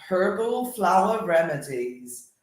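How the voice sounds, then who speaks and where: A man co-hosting a casual breakfast live stream, with a croaky voice and a joking manner. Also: sits high, very animated, takes it slowly. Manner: joking, laughs often. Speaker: co-host. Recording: very echoey room.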